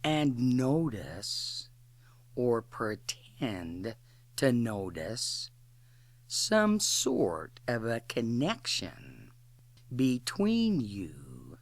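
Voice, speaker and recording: A woman leading a guided imagery exercise in an instructional way, speaking in deliberate short phrases with long pauses. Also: very deep voice, no echo.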